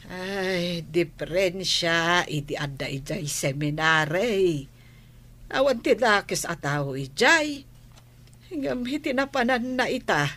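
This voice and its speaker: Slow-speaking, anxious mother. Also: lowish voice, lively intonation, a bit bright, full character voice. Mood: worried. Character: anxious, grieving mother worrying over her absent child. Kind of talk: Philippine radio drama acting. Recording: old AM radio drama recording.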